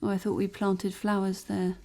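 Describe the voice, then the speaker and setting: An actress playing a brisk, dismissive office boss in an audio drama. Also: female voice, medium pitch, monotone, mellow, slight background noise, natural voice. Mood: businesslike.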